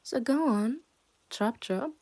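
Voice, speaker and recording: Female actor as possessive yandere captor, ASMR roleplay: unhurried, dark soft tone, smug and menacing, slipping into whispers. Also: fairly high pitch. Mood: probing, condescending. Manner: slow, teasing, drifts into breathy whispers. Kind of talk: ASMR roleplay, addressed to the listener.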